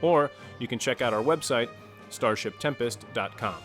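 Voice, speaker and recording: A man's voice: mid-range voice, normal speed, a bit bright. Male podcast announcer over faint music. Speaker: player and show announcer. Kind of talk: scripted podcast outro.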